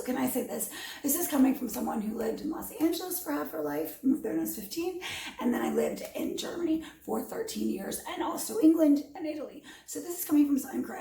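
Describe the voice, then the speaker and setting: A raspy, hoarse woman in her fifties giving blunt, rambling opinions at home as a vlogger, with many ums. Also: high voice, warm tone. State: hoarse from allergies. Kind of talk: opinionated casual commentary. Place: home during quarantine. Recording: echoey room with music behind.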